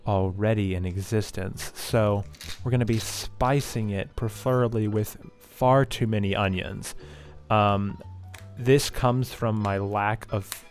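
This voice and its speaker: A young man in a home kitchen, hosting a tongue-in-cheek cooking tutorial with mock confidence and halting, repeated words. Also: lowish voice. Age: young adult. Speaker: host of a cooking parody vlog. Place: home kitchen and dining area, indoors.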